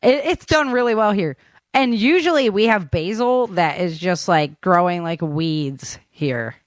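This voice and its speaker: Casual American female podcast host, slightly low voice, enthusiastic and informal, very dry close sound. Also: animated, a little slow, no room sound. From United States. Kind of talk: casual interview chat.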